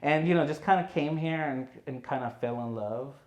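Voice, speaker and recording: A man, a filmmaker guest on a podcast, telling his story in a relaxed way full of "you know" and "right". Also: a little high, normal speed, reverberant, lo-fi sound. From India. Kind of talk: conversational podcast interview.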